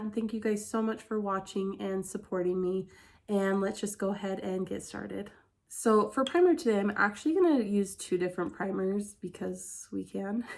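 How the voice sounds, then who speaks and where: A woman's voice: mid-range voice, darkish tone. Stuffy-nosed woman chatting casually. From Utah, USA. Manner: chatty and candid, many "like" fillers. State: congested, stuffy-nosed from a head cold. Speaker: beauty vlogger. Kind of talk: casual get-ready-with-me chat.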